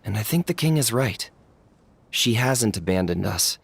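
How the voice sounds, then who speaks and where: A man's voice: on the low side, animated, moderate pace, vocal fry, breathy edge, exaggerated voice. A man voicing an earnest, humble townsperson in a video game, speaking politely and sincerely. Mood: earnest, persuading. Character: earnest, courteous male townsperson, humble and gently brave.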